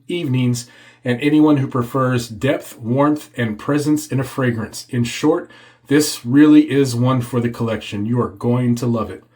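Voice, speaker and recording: A man hosting a casual shaving video, congested and a bit hoarse from a cold, chatting as he lathers. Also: mid-range voice, a little mellow. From Texas. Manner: chatty, reads product blurb aloud. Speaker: shaving channel host. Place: home office.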